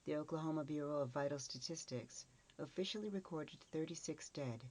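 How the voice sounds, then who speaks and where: A woman's voice: low voice, a little mellow, low-quality recording. A woman reading a scripted encyclopedia article as a narrator.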